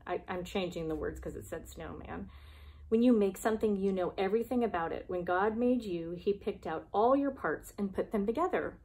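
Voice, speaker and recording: A woman's voice: mid-range voice, a little fast, darkish tone. Woman talking to young children. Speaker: preschool devotion host. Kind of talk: casual chat and reading aloud for young children. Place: small classroom, indoors.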